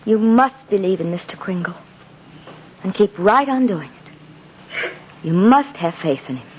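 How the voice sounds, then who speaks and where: An actress playing a gentle, earnest mother, tender and reassuring, in 1950s radio style. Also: mid-range voice, expressive, unhurried, lightly acted. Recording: muffled, hissy old radio broadcast.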